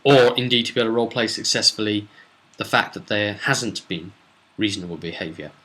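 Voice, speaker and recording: A man's voice: lowish voice, brisk, bright, forceful tone, rough recording. A British man giving a casual, rambling recap as a game master, often digressing to explain and quote his characters. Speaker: game master recapping a role-play session.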